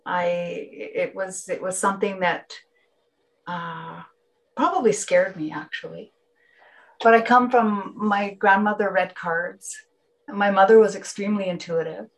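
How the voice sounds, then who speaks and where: A woman with a Canadian accent and Finnish as her first language speaks as a guest in a reflective conversation. She sits at a middle pitch and often halts mid-phrase to rephrase her thoughts. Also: fairly even intonation, measured pace, creaky. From Northern Ontario, Canada. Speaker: interview guest.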